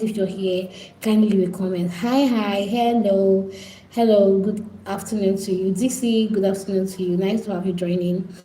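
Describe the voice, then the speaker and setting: A woman's voice: mid-range voice, mellow, reverberant, a little hiss or hum, low-quality recording. A Nigerian woman hosting a casual live stream, pleading and repetitive as she talks straight to her viewers.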